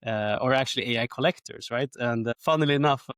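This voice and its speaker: A man telling his background as an interview guest, friendly and quick to laugh. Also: mid-range voice, a bit bright, clean tone, no room sound, studio quality. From Sweden. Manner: friendly, laughs easily, repeats words. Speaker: guest, company co-founder. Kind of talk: interview answers.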